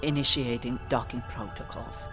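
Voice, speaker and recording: A man's voice: medium pitch, steady tone, normal speed, natural voice. A man voicing an obedient, formal spaceship computer for a game, clipped and procedural. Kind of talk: video game voice assistant. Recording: music behind the voice.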